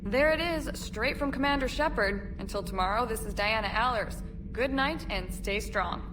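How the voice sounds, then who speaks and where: A woman playing a poised news reporter in a video game, asking pointed questions with crisp broadcast delivery. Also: fairly high pitch, moderate pace, natural voice. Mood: polished sign-off. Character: poised, probing female war news reporter. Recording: clear background noise.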